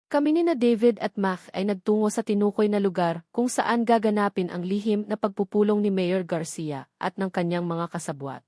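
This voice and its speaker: A woman narrates a dramatic story in the first person from a script. Her pitch sits in the middle of the female range, and the recording is dry, with hardly any room sound. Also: darkish tone, no room sound.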